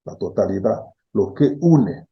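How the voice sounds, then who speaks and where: A man's voice: lowish voice, expressive, measured pace, breathy edge, dull audio. A middle-aged man from the Democratic Republic of the Congo, a philosophy professor explaining ideas thoughtfully, often checking in with "¿sí?". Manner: thoughtful, repeats key words, frequent "¿sí?" check-ins. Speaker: philosophy professor, interview guest. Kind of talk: reflective explanation.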